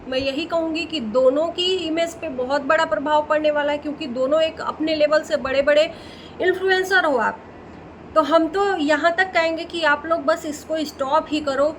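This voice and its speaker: Female reaction-channel host, high voice, casual and opinionated, repeats phrases for emphasis, some background noise. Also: a bit bright.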